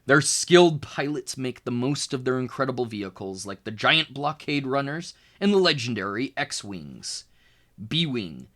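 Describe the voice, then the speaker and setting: A man with a mid-pitched voice reads aloud in an educational style, like a tutor working through a children's book. His intonation is very animated, rising and falling widely. He phrases things deliberately and leans on the key words. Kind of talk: expressive educational read-aloud narration.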